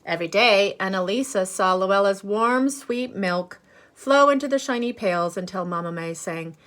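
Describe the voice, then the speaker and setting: A woman reading a picture book aloud to children like a teacher, expressive and deliberate, pausing to explain things with simple asides and questions. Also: mid-range voice.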